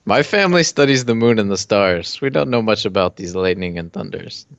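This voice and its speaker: A man's voice: lowish voice, animated, brisk, gravelly, no echo. Amused man, dry lo-fi sound. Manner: amused, laughs easily. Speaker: player. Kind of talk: tabletop roleplay chat. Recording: dry lo-fi recording.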